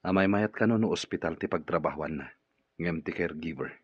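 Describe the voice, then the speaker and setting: Gloomy, husky, very low-voiced man. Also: brisk, no echo. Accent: Ilocano Filipino. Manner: downcast, grumbling. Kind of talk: Filipino radio drama acting. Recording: dull lo-fi radio recording.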